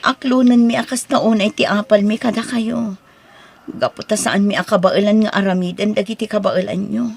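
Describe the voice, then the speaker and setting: A woman's voice: medium pitch, steady tone, moderate pace, creaky, darkish tone, husky. A woman with an Ilocano accent acting the part of an earnest, apologetic woman in an audio drama. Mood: remorseful.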